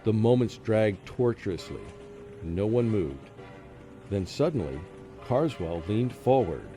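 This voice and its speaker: Man acting a haunted, low-voiced professor in radio drama. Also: sing-song, takes it slowly, dark tone, exaggerated voice. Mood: tense suspense. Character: tormented, fearful male professor under a deadly curse. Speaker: narrating lead in a radio drama. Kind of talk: dramatic first-person radio play narration. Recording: prominent music under the voice.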